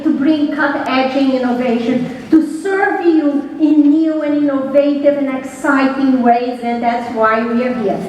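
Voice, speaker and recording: A Brazilian-accented woman with a high voice delivers a conference keynote, light asides mixed with frequent fillers. She sounds distant from the mic in a large, strongly reverberant hall with faint noise. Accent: Brazilian Portuguese. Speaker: keynote speaker.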